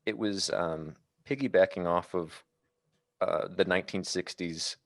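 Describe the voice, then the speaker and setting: A man talking casually about films as a podcast panelist, in a halting, rambling way. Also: low-pitched, dry recording, studio quality. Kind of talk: casual film discussion.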